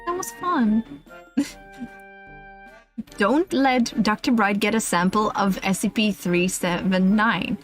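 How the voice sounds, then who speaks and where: A woman's voice: mid-range voice, slow pace, long pauses. A woman streamer reading a humorous list aloud in a casual, playful, giggly way, with offhand asides. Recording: music playing behind the voice. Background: background music.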